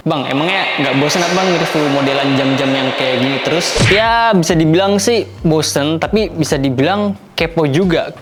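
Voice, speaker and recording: A man with a colloquial Jakarta Indonesian accent hosting a product review in an informal, explanatory way. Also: on the high side. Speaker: product reviewer, video host. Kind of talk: casual scripted gadget review.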